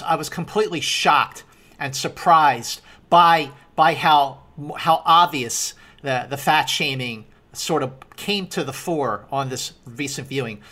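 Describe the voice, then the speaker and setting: Woman, slightly low voice, halting, insistent, casual debate, podcast host. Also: fairly bright tone.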